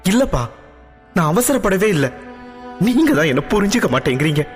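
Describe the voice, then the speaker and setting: A man's voice: high voice, lively intonation, normal speed, big-room sound, faint music behind, pitched above the performer's own voice. A man voicing a proud, headstrong young princess who argues indignantly with her parents. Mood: tearful. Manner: indignant, tearful pleading.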